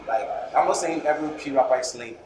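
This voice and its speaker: A man's voice: medium pitch, fast talker. A young man in his twenties with a Ghanaian English accent, a rapper answering interview questions earnestly, often restarting his phrases. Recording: echoey room with background noise.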